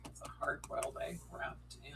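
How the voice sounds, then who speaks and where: A man off camera passing along viewer comments in quiet, half-whispered asides. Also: moderate pace.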